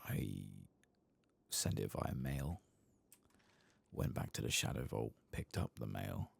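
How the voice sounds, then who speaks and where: A man giving soft-spoken ASMR commentary over video game play, hushed and at times slipping into a whisper close to the mic. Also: a bass voice, expressive, takes it slowly, gravelly, slightly rough. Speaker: host of a gameplay channel.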